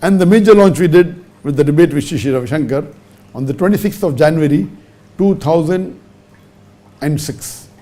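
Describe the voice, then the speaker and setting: A man's voice: a little high, moderate pace, warm tone. A man answering a question in a lecture-style talk. He reels off figures and rankings methodically and punches key words with sudden upward jumps. English is not his mother tongue. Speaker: religious speaker answering a question.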